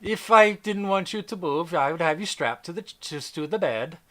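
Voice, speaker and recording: Male game master, fairly high bright voice, narrating a tabletop campaign. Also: expressive, moderate pace.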